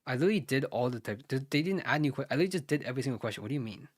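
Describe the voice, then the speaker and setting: Male tutor, American-raised, mid-pitch, dry close mic, muttery think-aloud delivery. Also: animated, racing, slightly rough, no echo. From United States. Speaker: SAT tutor streaming live. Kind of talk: live think-aloud math walkthrough.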